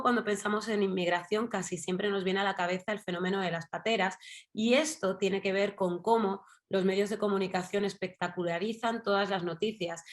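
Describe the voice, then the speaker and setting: A woman from Spain with a Madrid accent speaks as a guest in an online discussion. She thinks aloud and drifts into asides, with many fillers and tag questions. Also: medium pitch. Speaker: journalist guest. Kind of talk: casual talk in an online panel.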